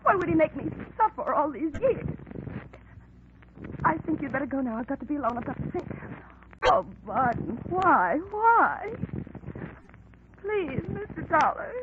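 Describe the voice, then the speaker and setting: An actress playing a pampered, theatrical wealthy wife in 1940s radio melodrama, with a gushing, dramatic delivery. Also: female voice, high voice, big pitch swings, a little slow, long pauses, phone-quality sound. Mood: overwrought, distressed. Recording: noisy, thin 1940s radio recording.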